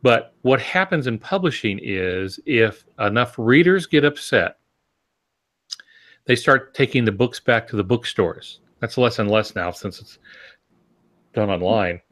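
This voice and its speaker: A man's voice: medium pitch, expressive, a little slow, fairly bright tone. Man explaining things in conversation. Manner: conversational, explains step by step with rhetorical questions. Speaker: podcast panelist. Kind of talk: casual explanatory discussion.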